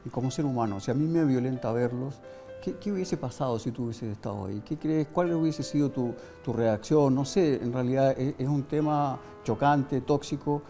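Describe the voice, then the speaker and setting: A man's voice: medium pitch, moderate pace, mellow, soft background music. A man asking conversational interview questions, tentatively rephrasing as he goes.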